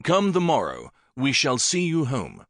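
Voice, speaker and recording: A man voicing a commanding older king who speaks in a measured, regal way. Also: medium pitch, huge pitch swings, a bit bright, dry recording, clean studio sound, voice pushed up, heavily acted. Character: commanding older king, warm yet persuasive.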